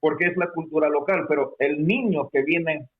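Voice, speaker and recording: A man's voice: fairly high pitch, moderate pace. A man born in Peru, a guest explaining his experience in a hesitant way, with many fillers and restarts. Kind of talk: conversational explanation. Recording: phone-like narrow sound.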